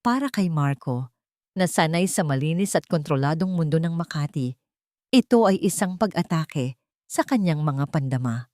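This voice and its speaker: A woman narrating a scripted dramatic story in a suspenseful way, pausing between short phrases. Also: sits low, expressive, darkish tone, no room sound, clean studio sound.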